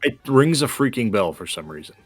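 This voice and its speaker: A man chatting casually as a game co-host in a measured, explanatory way. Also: mid-range voice, sing-song, no room sound, soft background music. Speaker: gaming co-host. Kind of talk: casual chat during a game.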